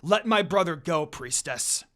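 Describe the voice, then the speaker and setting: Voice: mid-range voice, expressive, a little slow, pushed and bright. A reader voicing a stern, protective demon lord and older brother in an amateur story reading. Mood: commanding.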